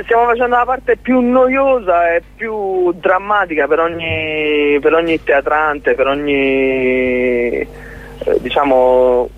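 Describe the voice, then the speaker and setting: A man being interviewed as a guest about his theatre collective, talking in a halting way with many restarts and repeated words. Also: a little high. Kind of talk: casual radio interview. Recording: tinny phone line.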